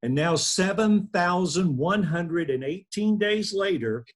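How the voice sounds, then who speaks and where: A man with a husky voice reads scripted stage directions aloud as narrator of a table read on a video call. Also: high voice, lively intonation, measured pace. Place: indoors on a group video call.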